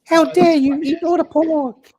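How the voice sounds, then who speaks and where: A man hosting a livestream, reading super chats aloud and chatting casually, animated and swearing. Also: very high voice, a little slow, low-quality recording. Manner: animated, swears, mocks and laughs. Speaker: livestream host.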